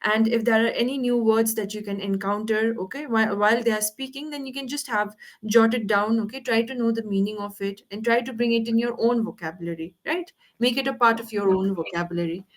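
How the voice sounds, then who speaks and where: A woman's voice: fairly high pitch, brisk, few pauses. A woman tutoring English on a video call, patiently correcting pronunciation and asking the learner to repeat words. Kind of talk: online one-on-one English lesson.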